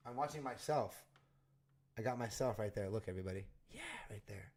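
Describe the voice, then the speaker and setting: A man hosting a casual livestream, pausing meeting footage to comment on it in a chatty, joking way. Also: fairly low pitch, a little mellow. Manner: chatty, joking, fast asides. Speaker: livestream host.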